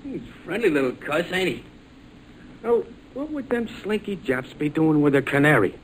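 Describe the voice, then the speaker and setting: A rough-talking American sidekick, blustering through slang with an excitable, hot-headed temper. It is 1940s radio adventure acting on an old recording with faint noise. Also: male voice, fairly high pitch, moderate pace, poor audio. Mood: curious.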